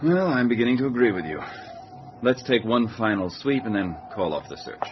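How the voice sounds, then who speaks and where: Gruff sub captain, male actor, defiant and authoritative, lively intonation, old radio serial delivery, lo-fi sound. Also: medium pitch, a little fast, a little hiss or hum, close to an everyday voice. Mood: businesslike. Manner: authoritative, blunt. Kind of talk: old-time radio drama acting. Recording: dull lo-fi radio drama, music behind.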